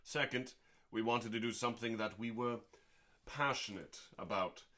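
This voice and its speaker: A man reading a book aloud as a show host, in a deliberate, dramatic bedtime-story style with pauses and drawn-out words. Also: medium pitch, sharp tone. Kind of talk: slow dramatic book reading, meant as calming bedtime listening.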